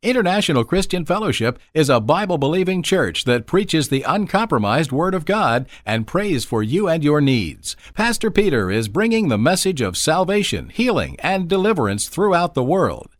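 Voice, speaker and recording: Lively male programme announcer, dry sound. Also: on the high side, normal speed, hardly any pauses, dry recording. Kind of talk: scripted promotional introduction.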